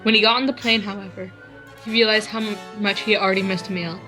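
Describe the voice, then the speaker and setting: A woman with a mid-pitched, bright voice reads the story aloud in a casual way, stumbling now and then. Faint classical music plays softly behind her. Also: steady tone, quick pace, rough recording. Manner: reads with small restarts, laughs at the text. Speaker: reader in a group fan fiction reading. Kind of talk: casual dramatic reading.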